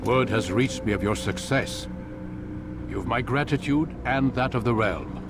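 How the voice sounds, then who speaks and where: An actor playing a calm, cryptic older male master who speaks in a measured, lecturing way. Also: medium pitch, loud music behind the voice, natural voice.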